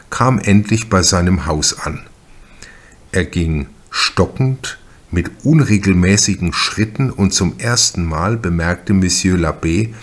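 A man reading a crime novel as an audiobook narrator, in a measured, deliberate manner with careful pauses. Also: sits low.

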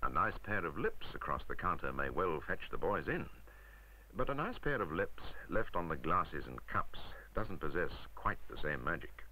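Deep-voiced British man, lively 1960s film narrator. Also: moderate pace, low-quality recording. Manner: wry, rhetorical, emphatic. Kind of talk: 1960s scripted instructional narration. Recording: vintage 1960s film soundtrack.

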